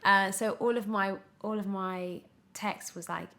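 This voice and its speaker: A woman's voice: mid-range voice, fairly even intonation, unhurried, pushed and bright. A British woman in her twenties giving a casual podcast interview as a young actor, emphatic and often breaking off to restart her thought. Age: 20s.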